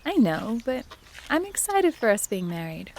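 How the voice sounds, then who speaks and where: A woman's voice: a little high, sing-song, moderate pace, darkish tone, slight background noise. A woman playing an affectionate young student fiancée in an audio drama, with a conversational delivery. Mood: affectionate, teasing. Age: young adult.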